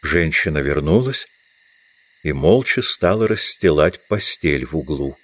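A male narrator reads literary prose for an audio drama. His voice is very low and deep. The delivery is scripted storytelling. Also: expressive, unhurried, a bit bright, no echo.

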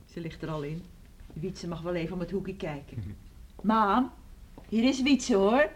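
A woman's voice: lowish voice, expressive, a little slow. Fussing, chiding village mother. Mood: grateful. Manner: chiding, hushing. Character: fussing, easily embarrassed middle-aged village mother. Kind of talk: Dutch radio play acting. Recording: noisy old radio drama recording.

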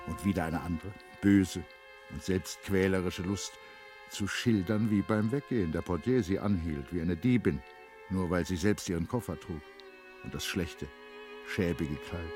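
Low-pitched male radio-play narrator over music.

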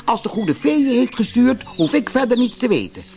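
Man acting a little old gnome gardener, very high voice, friendly, brisk, storybook fairy tale, music behind. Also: big pitch swings, a little mellow, full character voice. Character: tiny kindly old gnome gardener with long beard. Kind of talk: storybook character voice.

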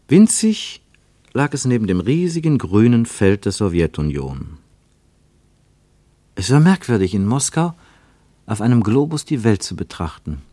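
Wry radio-play narrator, lower register, measured and precise, very dry studio sound. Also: male voice, a little mellow, no echo, exaggerated voice. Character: literary narrator of a Cold War journey, wry, measured. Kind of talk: scripted literary narration, German radio play.

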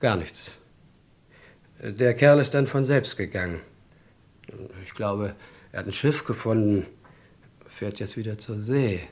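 An actor playing a hesitant yet gossipy witness in a radio crime drama, halting as he searches for words. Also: fairly low pitch, a little mellow. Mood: confiding.

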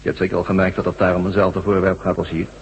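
An actor playing a mysterious, commanding armed stranger in a radio drama, terse, evasive and coolly menacing. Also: male voice, low-pitched, monotone, quick pace, muffled recording. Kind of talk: Dutch radio drama acting. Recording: hum and noise, long reverb.

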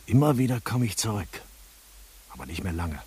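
An embittered city dweller in a radio play. His voice is very deep and extremely husky, brooding, with lively swings of pitch. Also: moderate pace, exaggerated voice. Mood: bitter, insistent. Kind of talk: 1980s German radio play acting.

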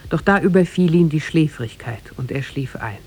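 A man narrating a tale in a formal, measured 1950s radio-drama style with literary diction. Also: fairly high pitch, expressive, a little mellow, husky.

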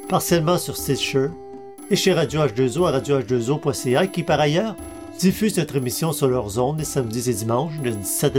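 A man hosting a podcast, reading scripted narration and announcements. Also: mid-range voice. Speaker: podcast host and reader.

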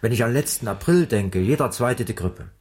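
An actor playing an easygoing, chatty circus colleague in a radio drama, talking casually. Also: male voice, mid-range voice, lively intonation, fairly quick, a little mellow, lightly acted. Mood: amused, chatty. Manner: casual, colloquial. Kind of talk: 1980s radio drama dialogue.

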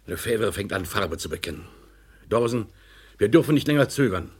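A man's voice: fairly low pitch, lively intonation, moderate pace, husky. A male actor in a German crime radio play, playing a stern, sceptical director with a formal, authoritative manner.

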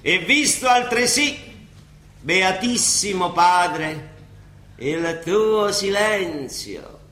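Radio play: weary, ironic Roman monsignor, high-pitched and forceful, grand dictation undercut by colloquial grumbling. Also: male voice, animated, extremely slow, roomy sound, exaggerated voice. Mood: solemn, declamatory. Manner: declamatory dictation broken by wry colloquial asides. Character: weary, ironic, disillusioned papal judge and monsignor in Rome. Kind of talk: theatrical radio drama dialogue.

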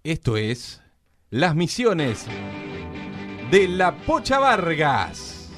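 Argentine man, radio presenter, lively intonation, slightly high voice, live interview, soft music behind. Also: drawn-out words. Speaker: radio host.

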